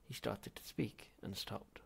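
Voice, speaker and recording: A man reading a comic science fiction novel aloud as an expressive audiobook narrator, with a gravelly, husky voice. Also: a little slow. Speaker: audiobook reader.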